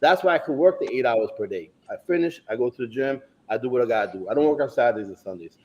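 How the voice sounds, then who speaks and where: A middle-aged bodybuilding coach who stutters, talking emphatically in casual chat and swearing often. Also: male voice, mid-range voice, animated, fast, highs cut off.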